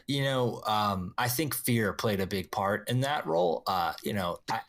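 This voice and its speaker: A man's voice: fairly low pitch, moderate pace, a bit bright, dry recording, lightly acted. A man playing an apologetic, bluffing spy in a tabletop game, speaking in a hesitant, earnest, polite way.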